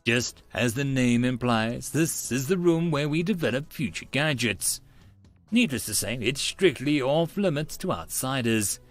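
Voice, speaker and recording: Man playing a pompous young mad scientist, wildly animated. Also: medium pitch, measured pace, natural voice. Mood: grandiose. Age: young adult. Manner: pompous, melodramatic. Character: grandiose young male self-styled mad scientist, theatrical, conspiratorial. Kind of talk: first-person audiobook storytelling.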